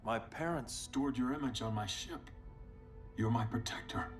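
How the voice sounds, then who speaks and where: A man's voice: mid-range voice, normal speed, soft background music, natural voice. An actor playing a proud, grave male alien superhero with an earnest, intense way of speaking in a game cutscene. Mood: moved, earnest. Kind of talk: video game cutscene acting.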